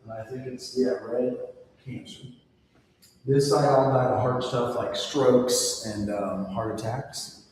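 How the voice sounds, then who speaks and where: A man's voice: medium pitch, fairly even intonation, slow, a little mellow. Man in his forties, teaching a class, echoey hall, faint background noise. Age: 40s. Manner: chatty, personal asides. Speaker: instructor. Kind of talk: casual classroom lecture. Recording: echoey hall recorded from far off.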